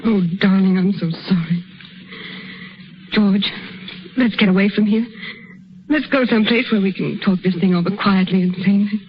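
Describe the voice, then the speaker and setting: A woman's voice: medium pitch, moderate pace, pauses often, lightly acted. An actress playing a devoted young woman in love, tender and pleading, in theatrical 1930s radio drama style. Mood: sad, brave. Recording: muffled, noisy 1930s radio recording.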